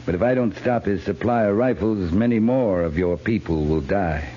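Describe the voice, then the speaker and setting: An actor playing a steady, dry cavalry captain in a 1950s radio western drama. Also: male voice, low-pitched, moderate pace, lightly acted. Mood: grave persuasion. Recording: muffled, hissy 1950s radio recording.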